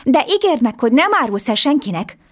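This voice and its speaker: A woman voicing an earnest, eager poor little boy in a storybook tale, asking questions and pleading. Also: sing-song. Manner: high, pleading, quick questions.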